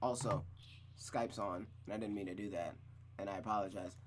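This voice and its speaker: A man's voice: fairly low pitch, fairly even intonation, normal speed, a little hiss or hum. A man streaming a visual novel, narrating it aloud like a storybook and adding casual asides.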